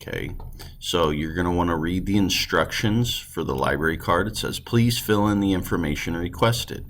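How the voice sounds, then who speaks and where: Gravelly, very deep-voiced male teacher. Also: expressive, normal speed, fairly bright tone. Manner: informal, explanatory. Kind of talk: classroom read-aloud instruction.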